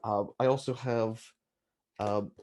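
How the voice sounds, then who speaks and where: A man hosting a casual book chat, self-mocking and quick to laugh. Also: lowish voice, fairly even intonation, a little mellow, a little hiss or hum. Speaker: book channel host.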